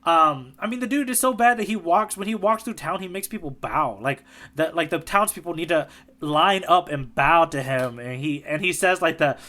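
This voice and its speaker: A man co-hosting a casual podcast chat, animated and quick to laugh, with lots of fillers. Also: a little high. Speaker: podcast co-host, tour guide.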